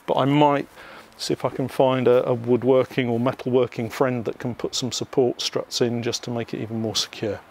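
British guy doing a casual outdoor unboxing vlog, chatty and explains stuff, medium pitched voice. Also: level tone, a little fast, a little mellow. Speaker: vlog host. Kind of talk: casual unboxing narration to viewers.

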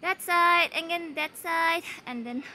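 A woman's voice: extremely high pitch, measured pace, pushed and bright, slight background noise. A young woman vlogging while she climbs a forest mountain trail, tired and sweating, chatting to the camera and cheering herself on. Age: young adult. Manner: chatty, breathless from climbing, self-encouraging. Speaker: travel vlogger. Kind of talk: hiking vlog narration. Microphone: handheld camera held close.

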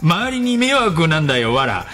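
Mocking online troll, played by a man, highish and varied in pitch, radio comedy. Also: sing-song, normal speed, bright, forceful tone, far from the mic, faint music behind, exaggerated voice. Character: snide, mocking internet troll reading out posts.

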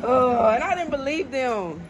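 A woman's voice: sits high, lively intonation, a little slow. A woman in her twenties chatting casually to a vlog camera in a playful, slangy way. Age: 20s. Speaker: travel vlogger. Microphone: handheld camera at arm's length. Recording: noisy handheld outdoor vlog audio.

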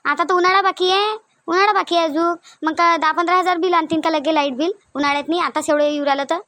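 A domineering cartoon mother-in-law played by a woman rattles off her complaints at high pitch in a Varhadi Marathi accent. She is thrifty and short-tempered, nagging with question after question in a dry, close recording. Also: fairly even intonation, quick pace, bright, forceful tone, dry recording. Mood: irritated. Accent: Varhadi Marathi (Vidarbha). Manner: nagging, repetitive rhetorical questions. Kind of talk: comedic cartoon dialogue.

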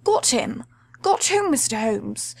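Woman doing a high-voiced, deferential policeman. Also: very animated, slow, vocal fry, husky, dry recording, studio quality, heavily acted. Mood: polite, puzzled. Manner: polite, questioning. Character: deferential, puzzled male police detective. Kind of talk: dramatic audiobook reading.

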